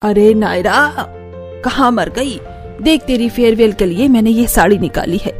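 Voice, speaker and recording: A woman's voice: mid-range voice, moderate pace, darkish tone, soft background music, close to an everyday voice. A woman voicing a stern, weary mother who scolds her daughter reproachfully in a family cartoon. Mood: exasperated, stern. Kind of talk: Hindi family cartoon voice acting.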